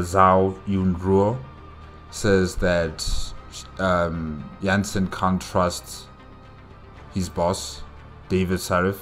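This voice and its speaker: A man hosting a reaction video, talking through a casual recap and thinking aloud in a halting way. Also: low-pitched.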